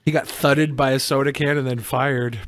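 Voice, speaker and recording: A man's voice: mid-range voice, fairly even intonation, moderate pace, fairly bright tone. A man co-hosting a podcast in casual, joking conversation. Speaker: podcast co-host.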